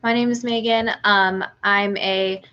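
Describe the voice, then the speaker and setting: A woman's voice: medium pitch, fairly even intonation, measured pace, pushed and bright. A young woman from South Carolina, a college student introducing herself in an upbeat way. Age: young adult. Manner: upbeat, self-deprecating. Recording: muffled lo-fi audio.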